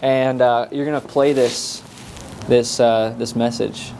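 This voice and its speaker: A male podcast host speaks in an easygoing, affirming way while steering the conversation. His voice sits at a middle pitch, with faint background noise behind it. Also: steady tone, slow pace, creaky. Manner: easygoing, affirming, gives directions. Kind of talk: casual podcast chat.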